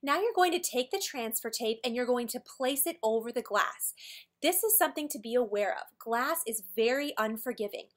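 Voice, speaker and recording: A woman presenting a scripted how-to tutorial, explaining each step in a clear, orderly way. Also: a little high, lively intonation, normal speed. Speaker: tutorial presenter for a decor company. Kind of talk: scripted instructional narration.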